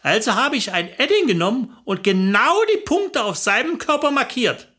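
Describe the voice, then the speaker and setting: A woman's voice: on the high side, sing-song, normal speed, bright, forceful tone. An older woman telling a comic story in a chatty, gossipy way, chuckling as she goes. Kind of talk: comic monologue.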